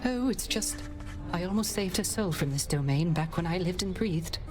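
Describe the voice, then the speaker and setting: A woman's voice: on the low side, lively intonation, moderate pace, music in the background, lightly acted. A woman voicing a melancholy, sensitive bard shade in a video game, with hesitant, flowery phrasing. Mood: wistful. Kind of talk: dramatic video game voice acting.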